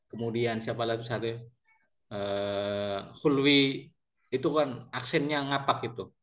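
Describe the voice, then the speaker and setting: A male lecturer in his thirties from Central Java speaks with a strong Banyumasan ngapak accent. He addresses his students politely and casually, repeating words and tagging his phrases. His pitch sits at an average level. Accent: Banyumasan (ngapak) Javanese. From Central Java, Indonesia. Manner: chatty, with frequent fillers and repeated words. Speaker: university lecturer. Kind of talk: introducing himself to an online class.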